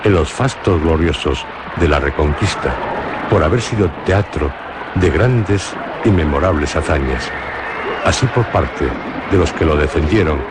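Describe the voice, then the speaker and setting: Deep-voiced Spanish male radio narrator. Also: very noisy. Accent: Spanish from Spain. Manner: measured, literary storytelling.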